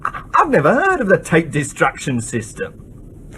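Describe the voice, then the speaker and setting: A nervous young navy technician in a radio play. The voice is fairly high and very changeable in pitch, halting and defensive. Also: male voice, wildly swooping pitch, bright, forceful tone, a little hiss or hum, full character voice. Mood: flustered denial. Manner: halting, protesting, deferential. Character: nervous, defensive young male submarine technician under interrogation. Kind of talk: broadcast radio drama acting.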